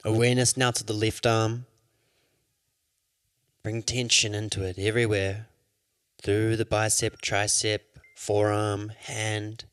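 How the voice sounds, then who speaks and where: A man leading a guided yoga nidra meditation as an instructor, with soothing, measured instructions. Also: on the low side, little pitch movement, a bit bright, smooth, no room sound, clean studio sound.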